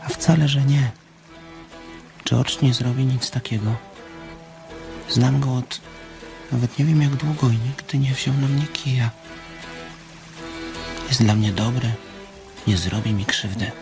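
A big-kid farmhand, simple and trusting, slow insistent pleading, radio drama w music under. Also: male voice, medium pitch, steady tone. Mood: stubborn, defensive. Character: childlike, simple-minded, trusting grown farmhand, easily upset. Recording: music clearly behind.

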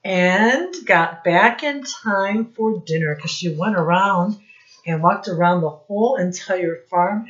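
A woman's voice: fairly low pitch, a bit bright. A middle-aged woman reading a picture book aloud to young children as a lesson, prompting them with questions and drawing out key words. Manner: instructive, prompting listeners with questions, drawn-out emphasis on key words. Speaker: children's storytime teacher and reader. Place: small room indoors. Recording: dull, lo-fi webcam sound.

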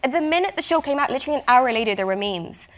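A young Northern Irish woman talks on a festival panel stage, her voice sitting fairly high. She tells stories chattily, rushing in quick bursts full of quoted asides. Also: expressive. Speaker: actor panelist. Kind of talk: casual anecdote. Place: conference stage, table mic.

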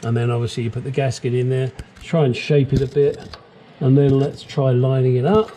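A man's voice: medium pitch, fairly even intonation, moderate pace, warm tone, a little hiss or hum. A man talking casually as he works under a car in a home garage, explaining each step, swearing now and then and cracking crude jokes, picked up by a small action camera. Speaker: DIY car mechanic. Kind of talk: casual how-to narration while working.

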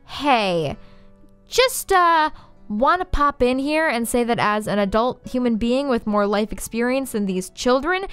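A woman's voice: mid-range voice, a bit bright, smooth, no echo. A young woman narrating a scripted story recap in a sardonic, informal, swearing style. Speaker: video essayist, narrator. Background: faint music.

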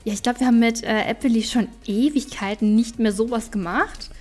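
A woman with a slightly high voice commentates casually on a video game. She chats and thinks aloud as she goes, in the easy style of a let's play. Soft game music plays behind her. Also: fairly quick. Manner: chatty, thinking aloud to herself and viewers. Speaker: gaming YouTuber.

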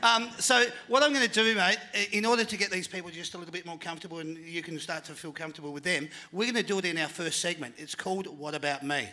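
A man's voice: fairly high pitch, fairly even intonation, quick pace, few pauses, sharp tone. A middle-aged Australian man hosting a live panel show, chatty and jokey, speaking into a handheld mic in a function room. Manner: chatty, jokey, works the crowd.

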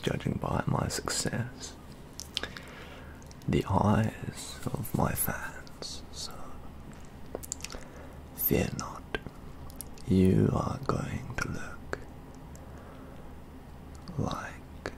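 A young man whispers his way through an ASMR roleplay in a low, very creaky voice. He draws out his phrases extremely slowly, with a breathy, genteel, theatrical delivery. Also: darkish tone. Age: young adult. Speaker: ASMR roleplay performer playing a model painter. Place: indoor studio with plain backdrop.

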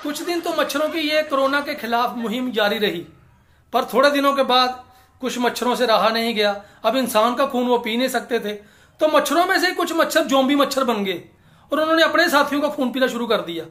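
Animated man doing stand-up to camera. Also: extremely high pitch. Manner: animated, gesturing, quick shifts into character voices. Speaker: stand-up comedian. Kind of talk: stand-up comedy monologue to camera. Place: small indoor room.

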